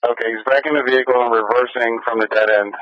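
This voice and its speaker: A man's voice: mid-range voice, fairly even intonation, quick pace, lo-fi sound. An American man working as a police radio dispatcher, repeating key details in clipped, official phrases over a scanner feed. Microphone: radio transmission.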